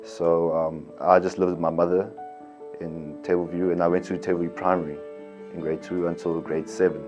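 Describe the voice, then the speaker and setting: A man's voice: sits low, little pitch movement, normal speed. A young man from Cape Town talks hesitantly about his upbringing, with many likes and ums. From Cape Town, South Africa. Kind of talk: personal interview. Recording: dull lo-fi audio over guitar music.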